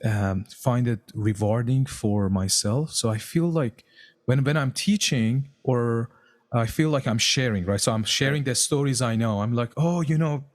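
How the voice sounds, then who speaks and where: Guy in his 30s chatting as a podcast guest, thoughtful, picks his words slowly, english learned as an adult. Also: medium pitch. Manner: thoughtful, searches for words in a second language. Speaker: composer and music educator, podcast guest. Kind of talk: casual conversation.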